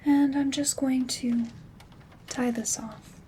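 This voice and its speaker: Slow, hushed woman acting a gentle witch. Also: sits high, fairly even intonation, slight background noise. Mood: calm, focused. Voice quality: soft-spoken, often slipping into whispers. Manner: unhurried, step-by-step instruction. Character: calm, gentle witch crafting herb bundles. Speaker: ASMR host. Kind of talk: ASMR roleplay, instructional. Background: rain sounds.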